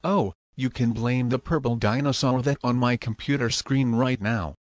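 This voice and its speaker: A man's voice: mid-range voice, moderate pace, dull audio, natural voice. A man playing a whiny, complaining young man who is annoyed at his computer. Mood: sheepish.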